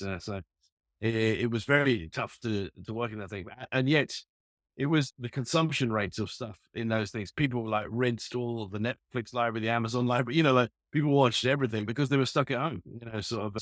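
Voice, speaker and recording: Easygoing male actor, mid-pitched voice. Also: no room sound, clean studio sound. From Ireland, raised in London. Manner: easygoing, hesitant with restarts. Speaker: actor and writer guest. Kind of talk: casual interview chat.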